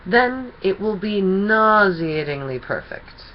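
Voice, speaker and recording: A woman's voice: mid-range voice, animated, slow pace, lo-fi sound, a little hiss or hum. A woman voicing a gleeful, teasing male chaos creature from a children's storybook, with theatrical mock innocence and gushing delight. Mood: excited, sociable. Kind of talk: children's storybook character reading.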